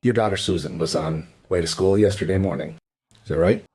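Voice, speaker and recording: Radio crime drama: male actor as dry, questioning police inspector, low register, measured delivery, some ambient noise behind. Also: a little mellow, natural voice. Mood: polite, sober. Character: male detective inspector, probing, dry, world-weary. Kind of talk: crime radio play acting.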